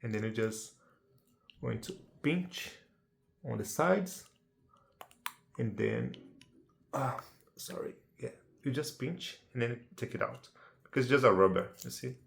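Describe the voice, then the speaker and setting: A man's voice: medium pitch, animated, measured pace, vocal fry, long pauses. A Brazilian man with a Portuguese accent explains things in English, his second language, in an easygoing, chatty way, often tossing "right?" or "yeah?" to the viewer. His voice sits in a middling range. The recording has an echoey, roomy sound. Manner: chatty, checks in with tag questions like "right?" and "yeah?". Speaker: amateur how-to presenter. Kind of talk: casual unedited tutorial.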